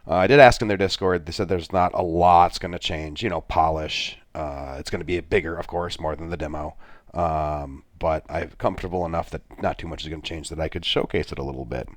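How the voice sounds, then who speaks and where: A soft-spoken middle-aged man giving a rambling, self-deprecating game walkthrough, full of filler sounds. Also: very deep voice, fairly even intonation, brisk, dry recording. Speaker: gaming video creator. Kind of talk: gameplay walkthrough commentary.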